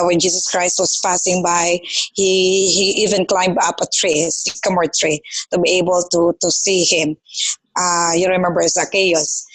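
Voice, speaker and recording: A woman's voice: on the low side, low-quality recording. Woman from the philippines chatting in a study group, earnest, lots of ums. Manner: thoughtful, earnest, frequent fillers. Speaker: student in group discussion. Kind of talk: casual discussion.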